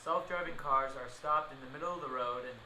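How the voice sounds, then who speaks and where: Man as a male researcher, know-it-all, agitated, radio drama, tense forceful voice, slightly high, roomy. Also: fairly quick, a little hiss or hum. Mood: smug, agitated. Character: excitable, smug male field researcher on radio. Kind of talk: amateur radio play acting. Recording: roomy, echoing sound.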